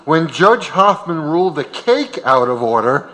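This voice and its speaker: Guy narrating a script on stage, bright sharp voice, big echoing auditorium. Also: on the high side, big pitch swings, unhurried. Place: large auditorium. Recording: reverberant auditorium.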